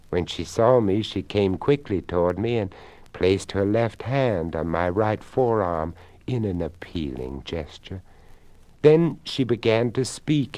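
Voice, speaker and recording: A man voicing an earnest, elderly deaf lady in a story, with a hushed, measured, genteel delivery. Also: fairly low pitch, no echo.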